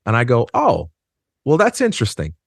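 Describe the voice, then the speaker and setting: A man's voice: medium pitch, huge pitch swings, creaky, no echo. Earnest man, dry studio sound. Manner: earnest, measured, slows down for emphasis. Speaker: podcast guest. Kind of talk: reflective conversation. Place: dark studio. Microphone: studio mic on a stand.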